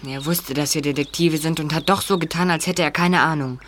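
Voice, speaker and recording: Woman acting an earnest, lowish-voiced boy sleuth. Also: steady tone, a little fast, a bit bright, a little hiss or hum. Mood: puzzled. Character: earnest, questioning boy detective sidekick. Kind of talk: German audio drama acting.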